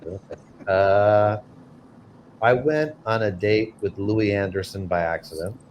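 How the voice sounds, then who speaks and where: A man with a fairly low voice, trading casual banter in a party game. He teases playfully over a faintly noisy recording. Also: measured pace, a little mellow. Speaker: panel game player.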